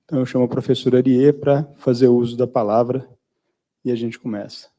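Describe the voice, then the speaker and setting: A man with a mellow, warm tone opens the session and introduces the guest speaker. His delivery is brisk but now and then halting. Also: medium pitch, level tone. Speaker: event host introducing the speaker. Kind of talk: formal introduction.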